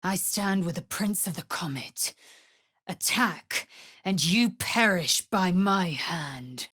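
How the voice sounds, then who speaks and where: A woman's voice: on the low side, lively intonation, takes it slowly, a bit bright, husky, no echo, clean studio sound, exaggerated voice. A woman playing a proud, fierce alien warrior in a fantasy video game, with a curt, imperious and disdainful delivery. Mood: commanding anger.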